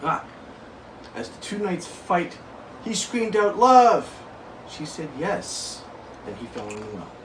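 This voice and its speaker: A young man co-hosting a board game show, laid-back and laughing, narrating his story slowly. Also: high voice, big pitch swings. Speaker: co-host. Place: small room indoors. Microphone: far camera mic. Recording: echoey room, distant mic, background noise.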